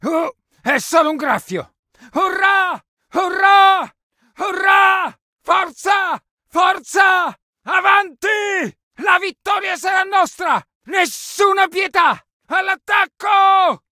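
Aggressive soldier in a war game, yelling urgent barks, bright and dry. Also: male voice, very high-pitched, expressive, unhurried, dry recording, exaggerated voice. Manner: urgent, forceful, exclamatory. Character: aggressive Italian infantryman in the heat of battle. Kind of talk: shouted video game combat barks.

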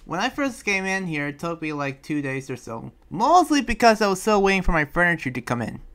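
A man playing a talkative, awkward young demon slayer in an improvised Minecraft roleplay, rambling and halting as he goes. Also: high-pitched, very animated, a bit bright, exaggerated voice. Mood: questioning, bemused. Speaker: roleplayer playing the lead character. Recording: music behind the voice.

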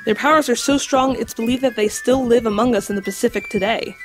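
A woman playing an earnest, scholarly guide to mythical creatures in an audio drama, explaining in a measured, slightly formal way. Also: on the high side, close to an everyday voice. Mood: explanatory. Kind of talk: audio drama acting, storytelling. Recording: music under the voice.

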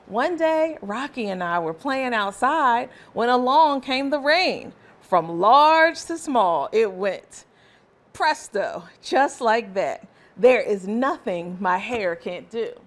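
A woman voicing a proud, excitable little girl in a children's storybook, with expressive delivery. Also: a little high. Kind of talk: children's storybook read-aloud.

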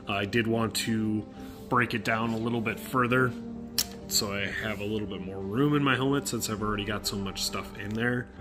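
A man giving a casual hands-on explanation in a halting, thinking-aloud way. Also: on the low side. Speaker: hobbyist tutorial maker.

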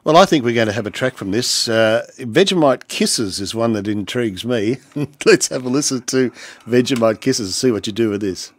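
A man's voice: mid-range voice, expressive, normal speed. A man hosting a conversational radio interview.

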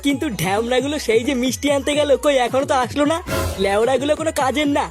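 A short-fused cartoon father, with a high, artificial comedy voice. He scolds crudely and blusters at a quick clip over faint music. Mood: fretting. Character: hot-tempered foul-mouthed cartoon father Poltu, fretting and scolding.

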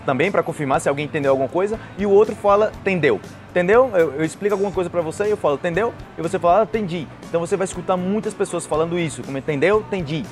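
Young brazilian guy teaching language casually outside, kinda high voice, talks fast, gives example phrases. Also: faint music behind. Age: young adult. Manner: explanatory, illustrates with acted example phrases. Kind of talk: conversational language lesson to camera. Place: outdoors on a patio by trees and a street. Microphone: lapel mic.

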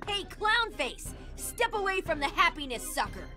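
A woman's voice: very high-pitched, sing-song, moderate pace, music in the background. An actress playing a cocky, impatient ten-year-old boy hero, brash and sarcastic, in a cartoon video game. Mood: determined. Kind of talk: animated video game cutscene acting.

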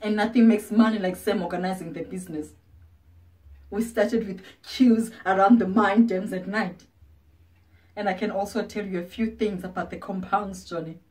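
An actress playing a proud, bitter ageing former prostitute, wounded and defiant, in a confrontational stage monologue with pointed pauses. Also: female voice, fairly high pitch, natural voice. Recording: distant microphone.

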